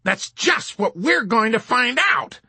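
A sneering army colonel, put on by a man, with a husky voice pitched high in mock sweetness, drawing out each taunt. It leaps around in pitch, and the sound is dry and close. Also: wildly swooping pitch, pushed and bright, no echo, heavily acted. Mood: sarcastic. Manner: scornful, taunting, drawn-out sarcasm. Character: sarcastic, superior male army colonel interrogator.

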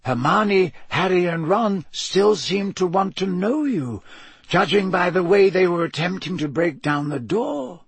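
A gently stern old headmaster with a dry, ironic wit, as a man reads him. The voice sits well above the reader's own, lilting and husky. It is recorded close and dry. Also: sits high, animated, unhurried, no room sound, voice pushed up, heavily acted. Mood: wry. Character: elderly male headmaster, wry and gently stern.

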